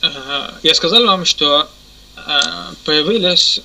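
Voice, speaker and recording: A man teaching a religious lesson. He pauses often, corrects himself, and keeps asking if his listeners understand. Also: fairly high pitch, pushed and bright, rough recording. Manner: halting, many fillers and self-corrections. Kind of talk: explanatory lesson.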